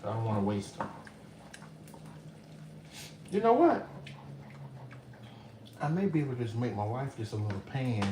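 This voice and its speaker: A man's voice: mid-range voice, very animated, normal speed, long pauses. A middle-aged man chatting casually as he cooks in his home kitchen, talking to viewers and his pets while he works. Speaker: home cook vlogger. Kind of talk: casual cooking vlog chat. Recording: echoey room, distant mic, background noise.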